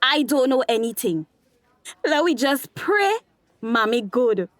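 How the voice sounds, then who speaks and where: A woman's voice: fairly high pitch, lively intonation, takes it slowly, no echo. A woman playing an emotional, distraught daughter with a Tobagonian accent in a radio drama. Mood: bitter, accusing. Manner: pleading, emotional, Creole phrasing.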